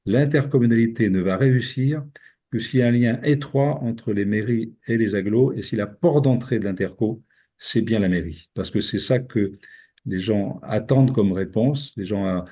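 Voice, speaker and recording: A man's voice: lowish voice, moderate pace, warm tone, slightly rough. An older man from France speaking as a mayor, giving his opinions in an earnest, explanatory way as if answering an interview.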